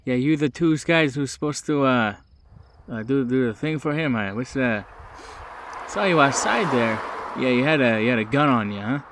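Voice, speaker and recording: A man playing a spooked, guarded lookout for a mob boss in a tabletop game, with halting, casual street talk. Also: mid-range voice, expressive, a bit bright, slight background noise, lightly acted.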